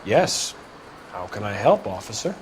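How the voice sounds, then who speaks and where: An actor playing a polite, obliging 1940s bartender in a video game, plain-spoken and helpful. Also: male voice, fairly low pitch, sing-song, unhurried, a little hiss or hum.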